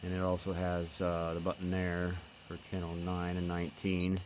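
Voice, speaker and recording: A man with a very low voice talks through a casual hands-on demo. He explains in an unscripted, halting way, like a hobby reviewer showing gear. There is clear noise behind him. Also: completely flat delivery, a little mellow. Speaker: host of a hobby radio review channel. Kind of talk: casual hands-on product demonstration. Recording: noticeable background noise.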